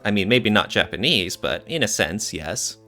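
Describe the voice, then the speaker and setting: A man's voice: fairly low pitch, animated, moderate pace, bright, forceful tone, soft background music. A man co-hosting a podcast, explaining things in a casual, thinking-aloud way. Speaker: podcast co-host.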